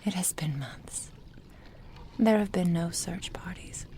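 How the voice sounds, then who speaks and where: A woman voicing a possessive, soothing, long-lived witch in a whispered ASMR roleplay, coaxing and doting in a breathy voice. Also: fairly low pitch, takes it slowly, warm tone.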